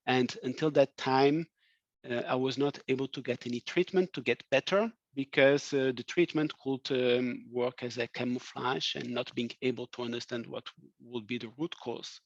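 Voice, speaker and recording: A man with a Portuguese accent, raised in France, giving a webinar talk, halting with many fillers and restarts. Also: mid-range voice, no echo. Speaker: guest speaker. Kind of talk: webinar presentation. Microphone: video call. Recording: dry, dull video call audio.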